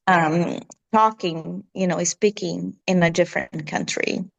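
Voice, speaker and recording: Brazilian woman, middle-aged, Portuguese native, low-pitched, unhurried, halting with fillers. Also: steady tone, gravelly, muffled recording. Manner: hesitant, searching for words with many fillers. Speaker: guest. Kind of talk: conversational interview.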